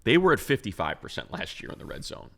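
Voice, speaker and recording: A man's voice: mid-range voice, big pitch swings, fast, gravelly, bright, forceful tone. An American man co-hosting a sports show, giving unscripted football analysis in an emphatic, rambling, conversational way.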